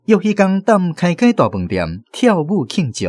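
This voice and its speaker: A man with a Taiwanese accent narrating a scripted radio drama story in an expressive, literary way. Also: high voice, normal speed, no room sound, clean studio sound. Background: faint music.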